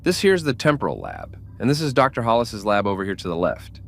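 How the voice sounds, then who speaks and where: An obliging male doctor aboard a starship, acted by a man, talks through his tasks for the crew. His voice sits a little low but swings expressively, with faint noise behind it. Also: huge pitch swings, a little fast, vocal fry, full character voice. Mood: helpful. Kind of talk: amateur sci-fi audio drama acting.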